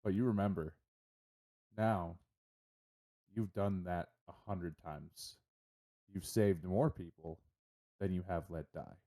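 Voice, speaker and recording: A man's voice: sits low, steady tone, takes it slowly, long pauses, warm tone. A man acting as game master, narrating and chatting casually with players at a tabletop roleplaying session.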